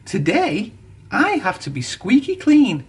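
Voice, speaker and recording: A narrator voicing Thomas, a small, eager, self-important young male engine in a storybook reading, pitched above the narrating voice. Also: big pitch swings, a little slow, breathy edge. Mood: huffy. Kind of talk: storybook character voice.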